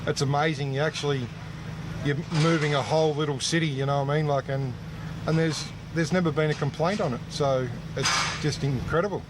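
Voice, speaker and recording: A man chats informally as an interviewed event worker. His voice is a touch high, and distinct urban outdoor noise runs behind it. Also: fairly even intonation, brisk. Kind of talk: casual interview chat. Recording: noisy outdoor location with vehicle ambience.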